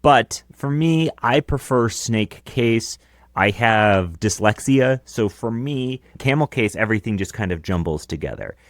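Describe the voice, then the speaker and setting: A man in his thirties with a deep voice, co-hosting a podcast in casual chat from a small home studio on a close broadcast mic. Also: animated, unhurried, no room sound. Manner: chatty, explaining his gear with casual asides. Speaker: podcast co-host. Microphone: close dynamic broadcast mic.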